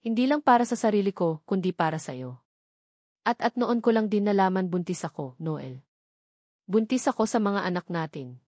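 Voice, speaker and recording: Woman acting anguished young wife, halting and trembling. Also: mid-range voice, expressive, darkish tone, dry recording, studio quality, close to an everyday voice. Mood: tearful. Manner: halting, trembling, repeats words. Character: anguished, fearful young wife, a former housemaid, self-sacrificing.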